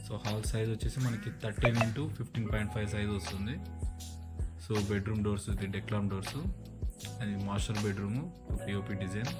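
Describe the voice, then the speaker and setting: Fast, flat-toned Telugu Indian man narrating. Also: lowish voice, over loud music. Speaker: property agent presenting a house on his channel. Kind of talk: walkthrough narration of a house tour.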